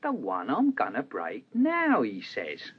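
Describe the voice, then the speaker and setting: Very animated waiter, husky voice. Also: male voice, mid-range voice, slow, exaggerated voice. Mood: animated, baffled. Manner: colloquial, animated storytelling. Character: chatty, deferential working-class male waiter, baffled storyteller. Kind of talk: radio crime drama acting. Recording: dull, rough radio drama recording.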